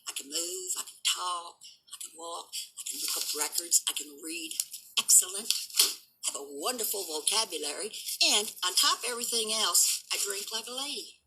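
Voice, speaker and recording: Brief: woman, 70s, rough and hard-edged, low-set pitch, taunting, boastful vlog rant. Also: animated, moderate pace, bright, forceful tone, a little hiss or hum, poor audio. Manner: defiant, boastful, taunting critics. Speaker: vlogger and dog breeder. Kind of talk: unscripted rant to camera.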